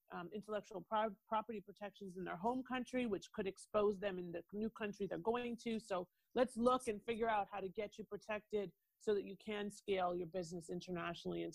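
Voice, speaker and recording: Canadian woman with a creaky voice. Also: medium pitch, dry recording, poor audio. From Toronto, Canada. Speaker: guest, international lawyer and mobility strategist. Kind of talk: podcast interview, explaining her work.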